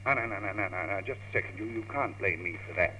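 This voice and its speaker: Wry, teasing British gentleman. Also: low voice, fast talker. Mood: amused teasing. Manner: wry, sardonic, urbane. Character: dry, teasing, worldly British chap, Tony. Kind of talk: old British radio comedy acting. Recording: dull, noisy old radio tape, clicks.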